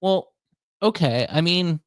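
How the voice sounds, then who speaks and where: A man's voice: on the high side, expressive, slow, dry recording, clean studio sound. A man hosting a radio show, talking in casual conversation. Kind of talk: casual chat, airing workplace grievances.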